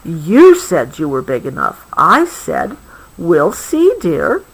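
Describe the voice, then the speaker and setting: A woman voicing a gentle, reassuring kangaroo mother in a bedtime storybook, soft and patient. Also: low-pitched, huge pitch swings, slow pace, husky, voice pushed down, full character voice, poor audio.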